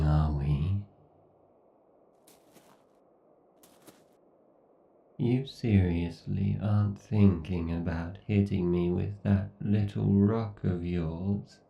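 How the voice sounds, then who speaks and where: A man playing an obsessive, sadistic AI god in a horror ASMR roleplay, with theatrical, menacing and condescending delivery in drawn-out, deliberate phrases. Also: low-pitched, creaky, roomy sound, far from the mic, lo-fi sound, full character voice. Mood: taunting. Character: obsessive, sadistic male AI god villain, gloating and hateful.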